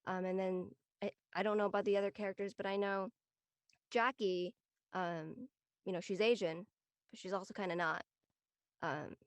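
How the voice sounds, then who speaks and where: A woman's voice: medium pitch, steady tone, normal speed, long pauses, no echo. Woman, dry close sound. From Southern California, USA. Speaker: cast member. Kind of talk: casual chat.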